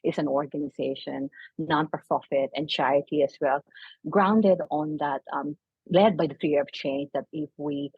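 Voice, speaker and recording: A woman's voice: low voice, fairly even intonation, normal speed, husky, no echo. A woman from the Philippines with a Filipino accent, a native Tagalog speaker, talking conversationally as an interview guest. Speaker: podcast guest, non-profit founder. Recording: dull lo-fi remote call audio.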